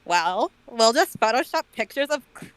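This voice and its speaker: A woman voices a mean, scheming teenage girl from a story, high-pitched and spiteful. Her delivery swings emphatically up and down, recorded dry and close. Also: expressive, normal speed, fairly bright tone, clean tone, no room sound.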